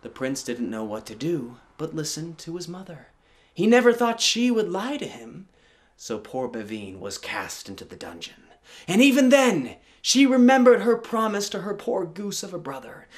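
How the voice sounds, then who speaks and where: A male storyteller performing a folktale. His delivery is dramatic and tongue-in-cheek, with a highish voice that swoops widely in pitch. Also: sing-song, normal speed. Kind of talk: animated live folktale storytelling.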